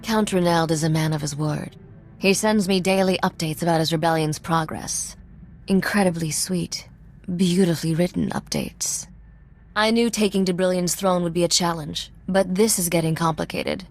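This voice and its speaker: Actress doing sardonic young smuggler queen-to-be, lower female voice, dry and sure of herself, video game, faint music. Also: steady tone, moderate pace, close to an everyday voice. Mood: wry, thoughtful. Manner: dry, wry, self-assured. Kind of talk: video game dialogue acting.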